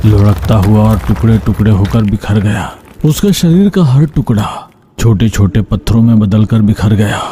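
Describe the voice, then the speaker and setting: Low-voiced male folk-tale narrator. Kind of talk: scripted dramatic storytelling for animated folk tale.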